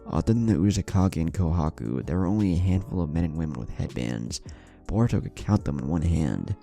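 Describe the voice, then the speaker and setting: A man narrating a scripted story in a measured, dramatic storytelling manner. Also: low-pitched, lively intonation, vocal fry, warm tone, soft background music.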